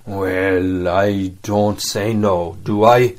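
A man's voice: sits low, fairly even intonation, drawn-out words. Man voicing a growling, impatient pirate coxswain. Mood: sullen. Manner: blunt, rough sailor talk. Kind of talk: audiobook character acting.

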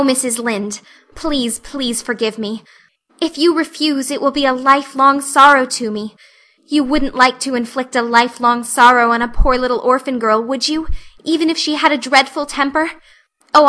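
Imploring young orphan girl, high and bright, breathless and theatrical, put on by a female reader.

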